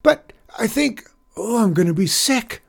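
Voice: medium pitch, measured pace, creaky, voice pushed up. A narrator voicing a plucky, determined young schoolboy in a children's story. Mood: queasy. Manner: excited exclamations and determined muttering. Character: plucky, determined third-grade boy riding a bucking chair.

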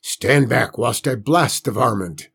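A man voicing a gruff, quick-tempered rural uncle who barks demands. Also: mid-range voice, fairly bright tone, exaggerated voice.

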